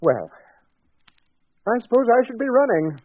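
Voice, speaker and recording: Timid, swooping country doctor. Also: male voice, extremely high pitch, very animated, a little slow, dry recording, exaggerated voice. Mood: courteous, attentive. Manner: hesitant, polite, swinging from brooding to eager. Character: timid, smitten English country doctor secretly plotting murder. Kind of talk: 1940s radio acting. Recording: muffled vintage 1940s radio broadcast with music.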